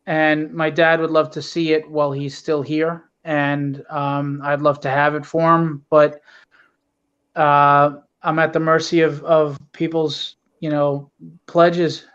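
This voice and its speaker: A man's voice: little pitch movement, slow pace, fairly bright tone, clean tone. A man giving an earnest, candid, casual account of his project as an interview guest. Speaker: guest, comic book writer and publisher. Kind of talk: casual interview talk, plugging and explaining a project. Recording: dull, low-fidelity call audio.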